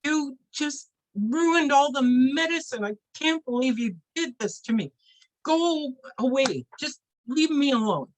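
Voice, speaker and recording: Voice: medium pitch, animated, measured pace, fairly bright tone, dry recording, low-quality recording. A storyteller voicing a stern, exasperated medicine man scolding his helper in a folk tale. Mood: angry.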